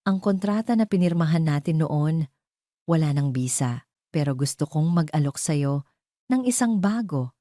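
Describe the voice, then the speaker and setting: A woman voicing an earnest, devoted young father and wealthy suitor in an audio story, speaking in a sincere, gentle, measured way. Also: deep voice, lively intonation, no room sound, studio quality. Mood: tender, earnest.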